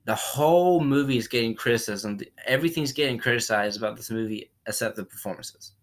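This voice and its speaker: A man giving a casual spoken movie review while recovering from a cold, rambling and often clearing his throat. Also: medium pitch, brisk. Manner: rambling, repeats himself, frequent throat clearing.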